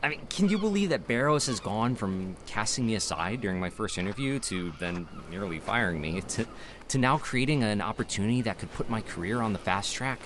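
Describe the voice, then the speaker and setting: Animated young man an actor over background noise. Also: lowish voice, fairly quick, close to an everyday voice. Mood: upbeat, eager. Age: young adult. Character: eager, talkative young city man in theater. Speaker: actor in an audio drama. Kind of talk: naturalistic audio drama dialogue.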